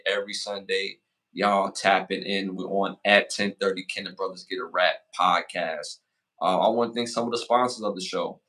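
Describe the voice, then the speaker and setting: A man in his forties hosting a casual podcast, giving motivational advice and shout-outs, repeating phrases for emphasis. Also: on the low side. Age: 40s. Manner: hypes the chat with rapid shout-outs. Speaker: podcast host.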